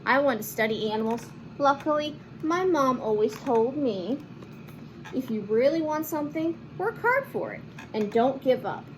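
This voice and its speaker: A woman reading a picture book aloud to children in an expressive, animated manner, with chatty asides and simple explanations. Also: high-pitched, normal speed, noisy background. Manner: animated, acts out lines and explains words to children. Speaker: children's read-aloud host.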